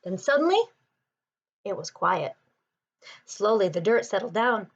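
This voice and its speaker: Animated woman reading a picture book aloud. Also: medium pitch, vocal fry, lo-fi sound. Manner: expressive, deliberate phrasing. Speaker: storybook reader.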